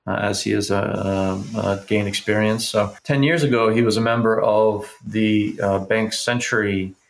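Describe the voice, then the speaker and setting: Low, gravelly man giving measured analysis. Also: fairly even intonation, a little hiss or hum. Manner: measured, halting, formal vocabulary. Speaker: political analyst, interview guest. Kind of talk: spoken political analysis in a conversation.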